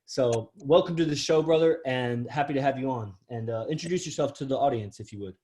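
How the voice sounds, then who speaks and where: A man's voice: mid-range voice, brisk, darkish tone. A man hosting a podcast interview, talking in an effusive, admiring and rambling way. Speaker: podcast host. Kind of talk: casual interview talk.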